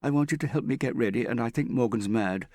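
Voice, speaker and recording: A male reader puts on an exasperated young female character, fretful and complaining. The pitch is lifted well up, in audiobook style, with a dry recording. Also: fast talker, dark tone, no room sound, lightly acted. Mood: exasperated, near tears.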